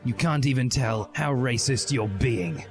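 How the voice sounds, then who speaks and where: A man's voice: medium pitch, lively intonation, measured pace. A self-important teenage boy revolutionary, acted by a man, rants with bombastic indignation. It is a comic storybook performance over a music bed. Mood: outraged. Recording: music behind the voice.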